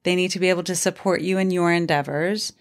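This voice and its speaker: A woman's voice: on the low side, steady tone, fast. A woman in her forties giving frank relationship advice in a casual podcast conversation. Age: 40s. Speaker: relationship expert guest.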